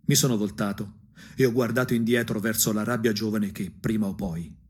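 A man from provincial Tuscany narrating a theatrical radio monologue, husky, dramatic and ironic, dropping into whispers. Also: fairly low pitch, steady tone, fairly quick. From provincial Tuscany, Italy. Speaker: narrator and actor.

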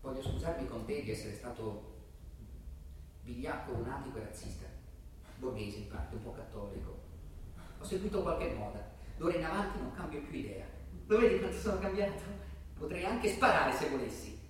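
A man's voice: mid-range voice, lively intonation, moderate pace, pitched below the performer's own voice, natural voice. A man acting a volatile, echoey armchair terrorist. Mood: contrite, bitter. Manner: swings from brooding murmur to shouted outbursts. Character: volatile, violent, self-pitying male armchair terrorist. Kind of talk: dramatic monologue from a stage play. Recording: very echoey room, distant mic, faint noise.

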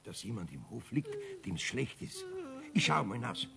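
A man playing a nosy, dutiful Bavarian card player in a radio play, excitable and insistent. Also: medium pitch, normal speed, creaky, exaggerated voice. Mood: curious, insistent. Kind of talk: 1970s radio play acting.

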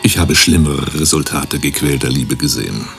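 An actor playing a pompous, theatrical professor in a German radio play, speaking grandiloquently with a gravelly voice. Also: male voice, extremely low pitch, normal speed, fairly bright tone, faint music behind, full character voice. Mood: amused, condescending. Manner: grandiloquent, swooping delivery. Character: pompous, theatrical male professor, an insistent music-obsessed therapist.

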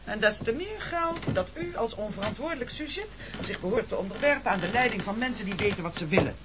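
Domineering male boss, formal and pompous, swinging intonation, radio play, clear background noise. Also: sits high, lively intonation, fast talker. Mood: commanding. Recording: noisy old radio recording.

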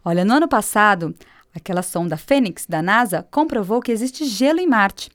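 A woman's voice: mid-range voice, animated, normal speed, dry recording, clean studio sound, close to an everyday voice. A woman with a Brazilian accent playing a patient, friendly astronomer who explains things in a scripted radio drama. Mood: patient explaining. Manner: explanatory, didactic. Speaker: actor in an educational radio drama.

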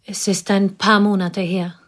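A woman playing a reserved schoolteacher, the dead wife's confidante, in a radio play, speaking in a calm, measured way. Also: medium pitch, close to an everyday voice. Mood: restrained. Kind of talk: radio drama acting.

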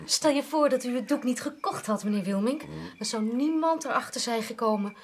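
An actress as a high-voiced, polite woman sleuth. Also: a little fast, close to an everyday voice. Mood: intrigued. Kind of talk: radio drama acting.

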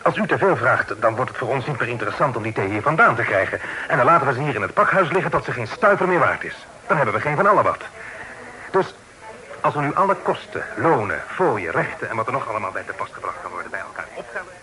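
An actor playing a formal, reasonable gentleman merchant in an old radio play, speaking in a measured way. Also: mid-range voice, big pitch swings, poor audio. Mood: firm, reasoning. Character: tired, formal gentleman merchant negotiating firmly but reasonably.

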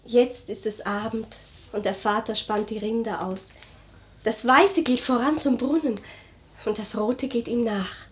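A woman's voice: fairly high pitch, a little slow, natural voice. A woman playing a young, homesick, lovesick maidservant in a radio play, wistful and plaintive. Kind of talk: theatrical German radio play acting.

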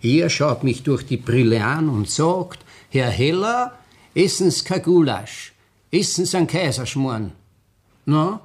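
An actor playing a fussy, plaintive Austrian head waiter in a 1960s radio play, formal and long-winded. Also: male voice, fairly high pitch, expressive, a little slow, breathy edge. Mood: aggrieved.

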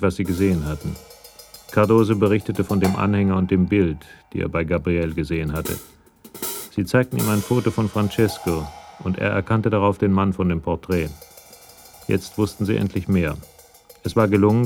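Male narrator, scripted crime drama, deep voice, gravelly fry, music underneath. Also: a little mellow.

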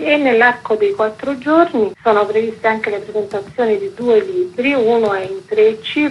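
Woman, medium pitch, festival organiser, explanatory radio interview, faint background noise. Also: dull audio.

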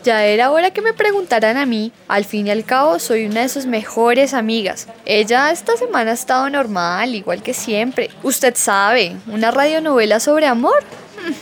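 A woman plays a young Colombian best friend in a radio soap. She talks casually and skeptically in a fairly high voice. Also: animated, normal speed, natural voice. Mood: dismissive. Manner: casual, colloquial.

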